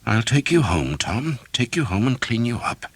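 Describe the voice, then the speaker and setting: A man voicing a small, hot-tempered village priest who shouts fierce commands. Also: mid-range voice, very animated, moderate pace, husky, dry recording, pitched above the performer's own voice. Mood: gentle.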